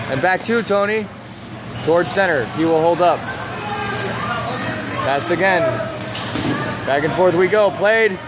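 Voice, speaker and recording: A man working as a play-by-play announcer, voice hoarse from calling many games, talking briskly and breaking into shouts on big plays. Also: high voice. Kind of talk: live sports play-by-play commentary. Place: small broadcast booth above an indoor rink. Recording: loud arena crowd and noise.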